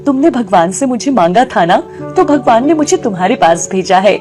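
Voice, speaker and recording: A woman's voice: on the high side, animated, moderate pace, music in the background, lightly acted. A woman voicing a kind, reassuring young man who acts as a brother in a moral story, speaking earnestly.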